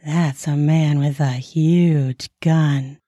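A man's voice: a little high, fairly even intonation, drawn-out words, mellow, dry recording, clean studio sound. A man voicing a bawdy, sly frontier barkeep woman with a Minnesota accent and a teasing, drawling delivery. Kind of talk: audiobook character voice.

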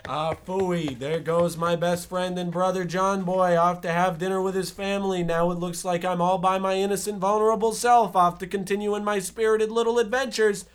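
A man playing a confident, scheming boy hero with halting, mock-earnest speech in a radio serial parody. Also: high-pitched, steady tone, clear, resonant tone, close to an everyday voice. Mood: mock-forlorn. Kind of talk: hobby old-time radio parody acting.

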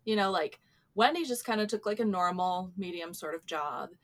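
Female author being interviewed, lower voice, loose and casual delivery. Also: lively intonation, moderate pace, a bit bright. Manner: loose, hedging, laughs easily. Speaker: author guest.

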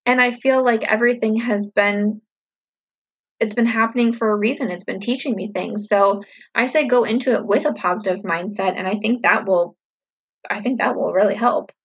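A woman in her thirties talks casually to her viewers, upbeat and reflective, with lots of "like" and "you know". Her voice is a little husky and sits mid-range. The recording sounds slightly dull and lo-fi. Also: medium pitch, normal speed. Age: 30s. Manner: upbeat, chatty, reflective with many fillers. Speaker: vlogger answering viewer questions. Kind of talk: casual Q&A chat to camera.

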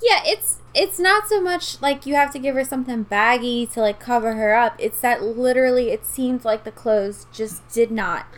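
A woman's voice: a little high, moderate pace, few pauses. A woman with a New Orleans Yat accent, co-hosting a podcast in casual, opinionated chat. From outside New Orleans, Louisiana. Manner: chatty, opinionated, laughs easily. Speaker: podcast co-host.

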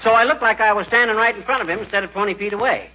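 A bumbling, boastful American husband from a 1940s radio comedy. His voice is very bright and fairly high, and he tells his tales in folksy, ungrammatical bluster. The sound is a dull old broadcast recording. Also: very fast, slight background noise. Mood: explanatory, exasperated.

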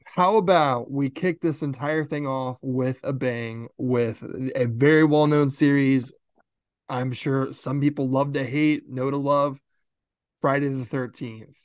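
A man's voice: medium pitch, fairly even intonation, a little slow, no echo, clean studio sound. A man co-hosting a horror podcast, explaining casually with lots of fillers and easy laughs. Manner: chatty, laughs easily, filler-heavy explaining. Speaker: podcast co-host.

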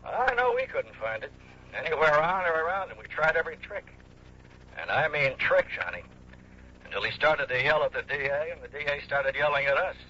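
Sharp-voiced, exasperated police lieutenant, male. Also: fairly high pitch, animated, brisk. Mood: frustrated. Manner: sardonic, grumbling. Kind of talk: 1960s radio drama acting. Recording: dull, hissy old radio broadcast recording.

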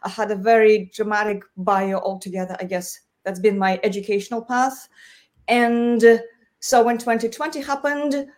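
A woman from Russia, a guest on a podcast, talking and laughing easily. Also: mid-range voice. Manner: warm, talkative, laughs easily. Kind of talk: podcast conversation.

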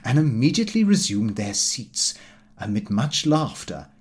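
A man narrates a comic diary in the first person. His mid-range voice moves up and down a great deal, and he tells it in a measured, slightly fussy storyteller's manner. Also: medium pitch, big pitch swings. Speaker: audiobook reader.

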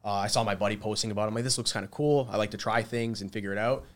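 A man in his twenties talks as a guest in a casual interview. His voice sits fairly low. He chats loosely, with plenty of fillers along the way. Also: steady tone, racing. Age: 20s. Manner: laughs often, lots of fillers. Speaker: guest, mason.

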